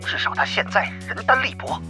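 A man's voice: a little fast, over music, close to an everyday voice. An actor playing a deferential, hesitant male guild underling in an audio drama, speaking tentatively. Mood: eager, compliant.

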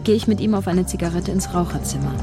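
An actress playing a shy young woman scriptwriter, narrating her own story in a quiet, earnest first-person voice. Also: fairly low pitch, fast talker, close to an everyday voice. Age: young adult. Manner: quiet, earnest inner monologue. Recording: studio radio play over music.

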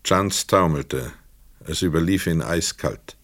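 A male narrator reads literary prose for a radio drama. His voice is low and he takes his time with each phrase. The sound is close and dry. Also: takes it slowly, a bit bright, no room sound.

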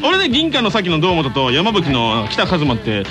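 A man's voice: a little high, wildly swooping pitch. A man hosting a radio show, joking with his co-host and guest and moving the show along. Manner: quick, joking, steers the show. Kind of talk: casual radio banter. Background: music.

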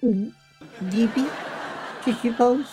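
A woman's voice: on the high side, takes it slowly, over loud music. A woman playing a fussy, anxious and overly devoted acquaintance with florid, hesitant formal speech, in old radio comedy style. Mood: hesitant, meek.

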